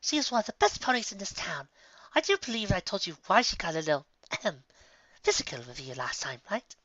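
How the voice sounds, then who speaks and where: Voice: medium pitch, sing-song, brisk, pushed and bright, dry recording, muffled recording, pitched above the performer's own voice. A narrator voicing a refined, dramatic female pony fashionista in a story reading. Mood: coaxing, reassuring.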